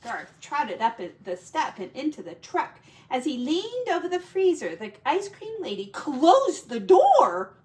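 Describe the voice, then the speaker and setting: A woman teacher reading a children's story aloud in an expressive storytelling style. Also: sits high, unhurried, few pauses.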